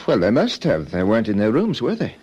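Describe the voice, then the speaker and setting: An actor playing an evasive, defensive hotel owner under questioning, in an old radio detective drama. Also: male voice, lowish voice, wildly swooping pitch, a little fast, darkish tone, breathy edge, highs cut off, heavily acted. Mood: guarded, irritable. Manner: defensive, indignant, hedging.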